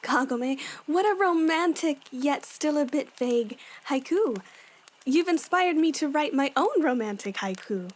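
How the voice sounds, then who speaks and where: A woman plays a young female demon slayer with a high voice. She comes across kind, earnest and sisterly, with a romantic streak. It is fan drama acting with faint noise underneath. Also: lively intonation, measured pace. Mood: admiring, dreamy.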